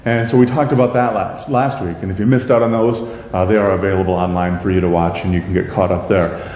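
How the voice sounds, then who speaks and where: A middle-aged man with a deep voice preaching a sermon in a church, conversational and self-deprecating, with many rhetorical questions. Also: fairly even intonation, fast talker, darkish tone. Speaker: pastor. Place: church sanctuary with a stage. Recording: echoey church hall, light rumble.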